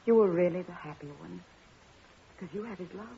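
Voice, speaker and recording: An actress playing a young princess, refined and formal, in 1950s radio operetta style. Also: female voice, on the low side, brisk, vocal fry, dark tone. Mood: earnest pleading. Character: young royal princess, dignified, pleading, jealous yet gracious. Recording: tinny, narrow old radio broadcast.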